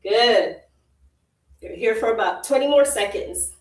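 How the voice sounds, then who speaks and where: A woman's voice: a little high, expressive, measured pace. Jamaican woman coaching a workout, roomy. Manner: encouraging, repeats short cues, laughs easily. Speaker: fitness instructor. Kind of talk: live virtual workout coaching. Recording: roomy, distant from the mic.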